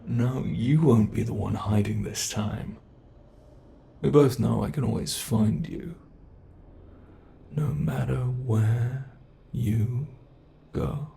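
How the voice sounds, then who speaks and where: A British man voicing a teasing, predatory male monster who holds the listener captive, speaking slowly and mockingly in an ASMR horror roleplay. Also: medium pitch, vocal fry, warm tone, slight background noise. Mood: taunting. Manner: slow, taunting, toying with the listener.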